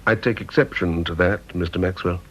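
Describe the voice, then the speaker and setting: Upright reserved officer, British, clipped, husky, radio drama, dulled sound. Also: male voice, deep voice, fairly even intonation, fairly quick, fairly bright tone. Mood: stiff, guarded. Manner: clipped, formal, guarded. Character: stiff, reserved, upright married male security officer. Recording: muffled old radio recording.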